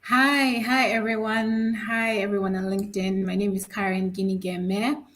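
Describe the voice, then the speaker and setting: A woman who works as a product manager introduces herself on a video call, sounding eager and excited. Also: mid-range voice, normal speed. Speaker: product manager joining a hackathon.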